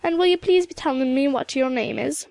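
A woman reading a storybook, voicing a proud, dignified old widow with a Highland Scottish accent and a rich, emphatic delivery. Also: animated, brisk, vocal fry, a little mellow, dry recording. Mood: regal, proud. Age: older. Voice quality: rich, powerful. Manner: stately, emphatic, lilting turns of phrase.

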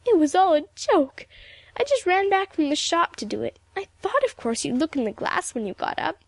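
A woman voicing a sly schoolgirl in a storybook reading, with a wheedling, halting manner that leans toward pleading. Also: extremely high pitch, monotone, darkish tone, no room sound, voice pushed up. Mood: sobbing, defensive. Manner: wheedling, stammering, sniffling. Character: sly schoolgirl, falsely gentle, crumpling into tearful pleading.

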